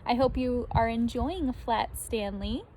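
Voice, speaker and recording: A woman reading a children's story aloud like a teacher, expressively, with animated emphasis on key words. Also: a little high, a little slow, slight background noise.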